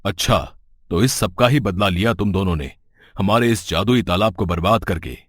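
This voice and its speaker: A man's voice: deep voice, normal speed, no echo, clean studio sound. A man playing a middle-aged village headman in an audio story, stern, suspicious and authoritative. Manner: scolding, authoritative. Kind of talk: dramatic story dialogue.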